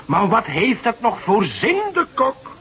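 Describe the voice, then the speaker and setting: An actor playing an eager, impulsive young police detective in a radio drama, speaking emphatically. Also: male voice, high voice, wildly swooping pitch, a little slow, sharp tone, full character voice. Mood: impatient, insistent. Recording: noisy radio drama recording.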